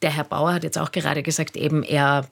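A woman's voice: sits low, little pitch movement, brisk, vocal fry, fairly bright tone. A woman moderating a live radio phone-in discussion as its host. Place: radio studio.

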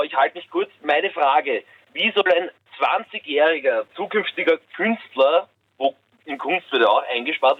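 A twenty-year-old man, calling a radio phone-in and asking his question in a halting, hesitant way. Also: on the high side, slightly rough. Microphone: telephone. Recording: tinny, band-limited phone line.

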